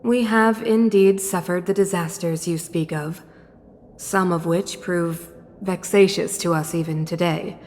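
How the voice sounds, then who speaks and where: Regal city ruler, played by a woman for a game: slightly low, formal, guarded, long reverb. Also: fairly even intonation, measured pace, a little mellow, slight background noise, lightly acted. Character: dignified, guarded adult female ruler of a city. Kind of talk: English video game dub acting.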